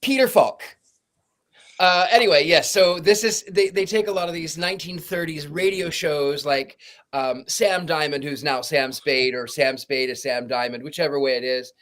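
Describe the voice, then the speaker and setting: A man with a somewhat high voice tells stories in a loose, animated way. He acts out what people said and often stumbles while hunting for the right word. The talk is relaxed and chatty. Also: moderate pace. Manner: animated anecdote teller, acts out quotes, stumbles for words. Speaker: podcast panelist. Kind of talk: casual chat, storytelling.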